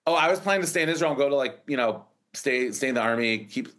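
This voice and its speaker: A man's voice: mid-range voice, lively intonation, fast, fairly bright tone. Rambling American man in his forties. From United States. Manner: rambling, many restarts and fillers. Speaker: startup founder, guest. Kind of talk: casual interview storytelling.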